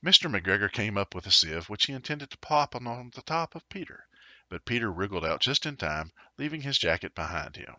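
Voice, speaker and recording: A man's voice: on the low side, very animated, brisk, bright, forceful tone, no room sound, dull audio. A man narrating a children's storybook as a storyteller, expressively, with dramatic and playful emphasis.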